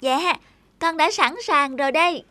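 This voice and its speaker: A woman's voice: sits high, measured pace, pushed and bright, no echo. A woman voicing a small, earnest angel girl in a cartoon, childlike and polite, speaking lines. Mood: eager, respectful. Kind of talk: animated fairy-tale dubbing.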